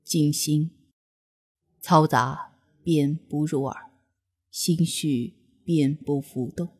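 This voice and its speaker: A woman voicing a serene, austere male god in a fantasy audiobook, calm, solemn and moralizing. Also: low-pitched, very slow, husky, pitched below the performer's own voice, heavily acted.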